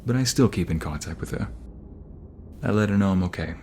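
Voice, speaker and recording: A man acts a young mobster with a romantic, protective streak in an ASMR roleplay. The voice is low and intimate, with hesitant pauses, over faint background noise. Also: expressive, a little mellow. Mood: reflective, candid. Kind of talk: ASMR audio roleplay acting.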